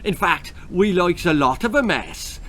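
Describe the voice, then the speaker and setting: A man's voice: high-pitched, lively intonation, measured pace, sharp tone, slight background noise, voice pushed up, exaggerated voice. A man voicing a tiny, cheery, forgetful old leprechaun with an Irish accent and a chatty, folksy manner. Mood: confiding, gleeful. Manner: chatty, folksy, repetitive greetings.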